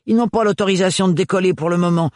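A man doing a gruff, blustering burly man. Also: high-pitched, quick pace, a little mellow, no room sound, studio quality, pitched above the performer's own voice, lightly acted. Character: gruff burly working man, loyal and rough.

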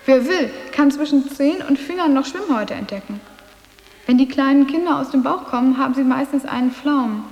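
Cheeky defiant boarding school girl in a radio play, high voice, precise delivery, lots of reverb. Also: a little fast, a little mellow. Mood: pert, reciting. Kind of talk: literary radio drama acting. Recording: echoing, reverberant hall.

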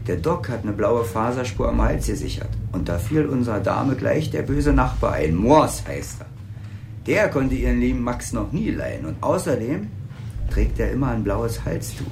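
Lively, sardonic policeman. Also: medium pitch, normal speed, creaky, noisy background. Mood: dry, sardonic. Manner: teasing, colloquial. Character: sardonic, gossipy small-town police officer. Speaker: police officer. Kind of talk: naturalistic radio drama dialogue.

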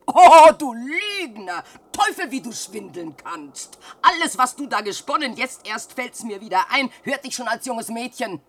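A woman's voice: a little high, sing-song, unhurried, hardly any pauses. An actress playing an old peasant widow and mother who scolds and laments in declamatory verse in a radio drama. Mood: indignant. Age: older. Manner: declamatory, nagging and wailing, dramatic swings.

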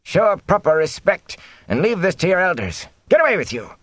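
A sour old thatcher as a man narrating acts him out speaks up high and swoops with indignation. He is stubborn and quarrelsome, a defiant village elder from a fantasy storybook. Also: very animated, brisk, no room sound, full character voice. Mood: scolding. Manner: sour, loud, challenging.

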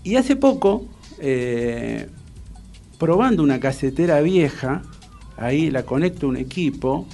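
A man with a Rioplatense Argentine accent hosting a radio interview, telling anecdotes in a casual, animated way and acting out what people said. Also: a little high, unhurried, gravelly, faint music behind. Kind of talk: casual chat, storytelling.

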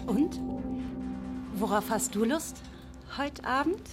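Radio play temptress, slow breathy teasing, lively pitch, music behind. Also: female voice. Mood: teasing, inviting.

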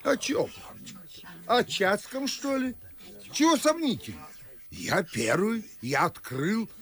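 A man playing a pompous, indignant middle-aged gentleman in a radio verse comedy, blustering scornfully. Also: sits high, very animated, slow, full character voice. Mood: indignant, boastful. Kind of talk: classic verse comedy radio play acting.

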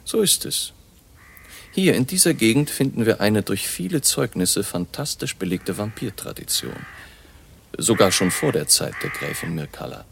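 Casting: an eerie scholarly baron, gravelly and low-pitched, measured and grave, radio play. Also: male voice, animated, fairly bright tone, slight background noise. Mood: ominous, scholarly. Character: baron, eerie learned vampire researcher, grave and deliberate. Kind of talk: radio drama acting.

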